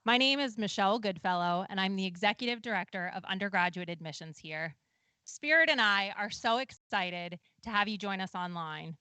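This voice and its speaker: A woman's voice: medium pitch, lively intonation, normal speed, sharp tone, no room sound. A woman admissions director giving an upbeat, reassuring welcome to a virtual open house. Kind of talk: welcoming presentation.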